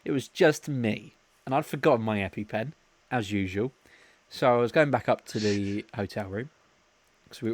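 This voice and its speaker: A man's voice: lowish voice, no room sound. A young man co-hosting a podcast, telling rambling holiday stories and laughing along as he talks. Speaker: podcast co-host. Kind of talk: casual storytelling chat.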